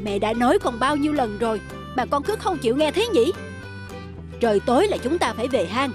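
A woman's voice: high-pitched, big pitch swings, a little fast. Worried, scolding mother donkey, played by a woman. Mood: scolding, anxious. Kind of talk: animated fairy-tale dubbing. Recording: loud music under the voice.

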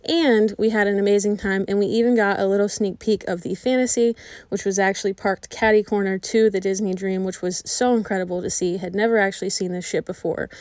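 A woman's voice: medium pitch, fairly even intonation, a little fast, a little mellow, dry recording. A woman in her thirties, a vlog host, narrating her trip in an upbeat, explanatory way.

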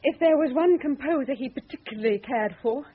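A woman's voice: high-pitched, fairly quick, close to an everyday voice. A woman acting in a radio drama as a scornful, exasperated wife with a mocking, cutting manner. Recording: tinny, band-limited radio drama recording.

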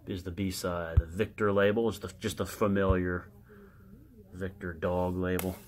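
A man giving casual, unscripted show-and-tell commentary as a collector, halting and muttering to himself as he reads aloud. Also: low-pitched, little pitch movement, a little hiss or hum. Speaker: record collector showing his records.

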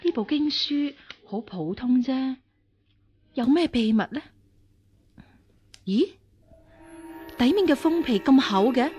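An actress playing a stern, shrewd martial-arts nun of royal birth, measured and commanding, in a Cantonese radio drama. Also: female voice, high voice, expressive, mellow, slightly rough, soft background music, exaggerated voice. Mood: curious. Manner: measured, authoritative.

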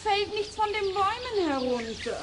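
A woman's voice: very high voice, very animated, normal speed, over a noisy crowd. A woman playing a simple country woman who gathers firewood, in a children's fairy-tale radio play. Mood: plaintive, wistful.

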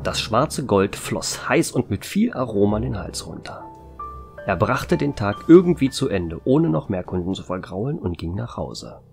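A man's voice: fairly low pitch, very animated, moderate pace, creaky, faint music behind. A man narrating a scripted story as its storyteller. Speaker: narrator and author.

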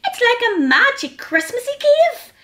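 A woman voicing a small, kind, eager male hedgehog with a squeaky, childlike, exclamatory way of talking in a children's storybook. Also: wildly swooping pitch, takes it slowly, pushed and bright, full character voice. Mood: amazed.

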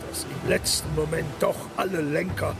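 An actor playing an imperious, vain German emperor with a pompous, commanding manner in a historical audio drama. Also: male voice, on the high side, fairly even intonation, normal speed, creaky, background noise. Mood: content, assured. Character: imperious German Kaiser, vain, confident, easily indignant.

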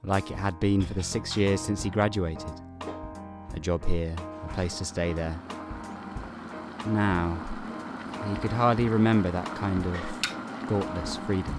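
A man narrating an audio play in a calm, measured and wry way. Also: low voice, over loud music. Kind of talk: scripted narration. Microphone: home recording booth.

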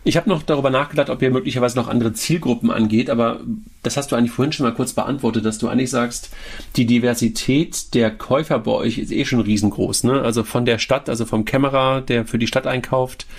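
A German man with a mid-range voice hosts a podcast. He asks his questions in a chatty, informal way, often ending on a quick tag question. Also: mid-range voice, fairly even intonation. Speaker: podcast host. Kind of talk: casual interview chat.

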